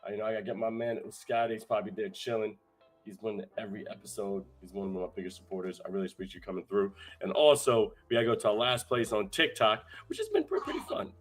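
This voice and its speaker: A young adult man hosting a live streamed show, hyped and energetic, his voice hoarse from talking so much. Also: lowish voice, fast, soft background music. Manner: energetic, shouting out viewers in chat. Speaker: host.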